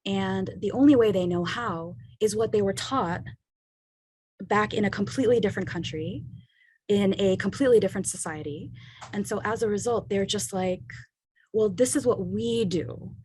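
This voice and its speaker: A woman's voice: mid-range voice, moderate pace, darkish tone, slightly rough, rough recording. A woman lawyer from the United States, talking casually as an interview guest, thoughtful, with many fillers.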